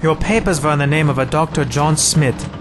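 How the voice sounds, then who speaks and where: A man voices a wounded German major who speaks with formal calm as he weakens. His voice sits a little high, and noise is plainly heard behind him in this audio drama. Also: natural voice. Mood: resigned. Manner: measured, formal, resigned. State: weak from mortal wounds. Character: wounded male German major, dying, composed.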